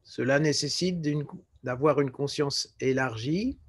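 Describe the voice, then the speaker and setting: A man guiding a relaxation meditation as a yoga nidra teacher, speaking in a calm, measured way with short phrases and pauses. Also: mid-range voice, slightly rough. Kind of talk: guided relaxation meditation instruction.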